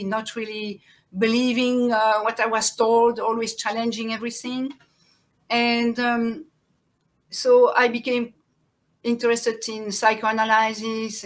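A middle-aged woman with a French accent speaks as an interview guest. Her voice sits a little high. She talks haltingly, pausing and restarting as she searches for words. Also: a bit bright. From born in Central Africa, raised in France. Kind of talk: personal life story in conversation.

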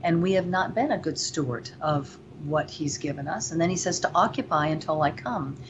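An earnest woman from Venezuela with a lowish voice rambles through her answers, full of fillers. The recording is dull and lo-fi. Faint noise sits beneath it. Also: moderate pace. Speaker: guest. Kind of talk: interview.

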